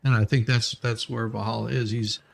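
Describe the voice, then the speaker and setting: Male stream co-host, vocal fry, informal conversational delivery, thinking out loud. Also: mid-range voice, level tone, a little fast. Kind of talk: casual chat.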